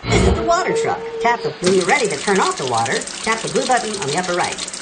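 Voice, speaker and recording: A woman's cheerful, encouraging voice giving clear step-by-step instructions in a game, praising each step. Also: mid-range voice, wildly swooping pitch, fast, very noisy. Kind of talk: cheerful scripted game prompts. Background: loud game music.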